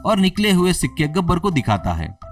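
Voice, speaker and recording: A man's voice: fairly high pitch, huge pitch swings, a little fast, distant mic, faint music behind. A man narrating a scripted moral story as a storyteller.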